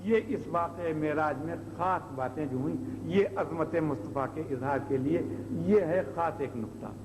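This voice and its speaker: A man's voice: a little high, normal speed. A man preaching an Islamic sermon in an explanatory way, asking rhetorical questions and repeating his points. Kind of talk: sermon, explaining religious stories. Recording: old noisy cassette, long hall reverb.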